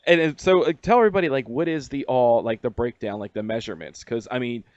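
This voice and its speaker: A male radio host runs a loose interview, hyped, crude and swearing, often stumbling into restarts. His voice sits at a plain middle pitch. The sound is dry and a little muffled. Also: fast, a little mellow, dry recording.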